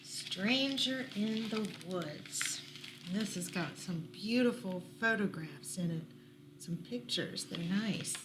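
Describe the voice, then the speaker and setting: A woman's voice: mid-range voice, expressive, slow pace. A woman reading a picture book aloud at storytime, with small asides to listeners. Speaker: library storytime reader. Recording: noticeable background noise.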